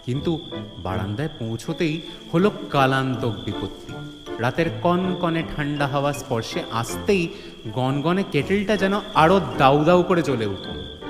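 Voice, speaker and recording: A man narrating a comedy-mystery story in a theatrical, suspenseful way, with touches of mock alarm. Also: on the high side. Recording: long hall reverb, music bed.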